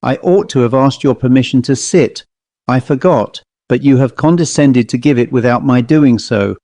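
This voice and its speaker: A man voicing a haughty French governess who speaks with sharp, scornful politeness. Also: mid-range voice, moderate pace, dry recording, close to an everyday voice.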